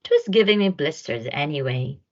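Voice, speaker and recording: A woman voicing a kind, generous male giant in a children's storybook, in a hearty, expressive manner. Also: sits low, moderate pace, dull audio. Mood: kind, cheerful.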